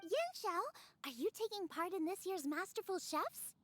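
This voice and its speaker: A woman's voice: very high voice, very animated, normal speed, slight background noise. A woman playing a friendly, earnest teenage girl chef in a game, with a girlish voice. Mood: cheerful, confident.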